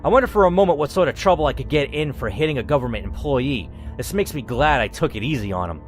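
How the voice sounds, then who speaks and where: A man reading an interactive story aloud, adding animated asides as he reacts. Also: mid-range voice, quick pace, faint music behind.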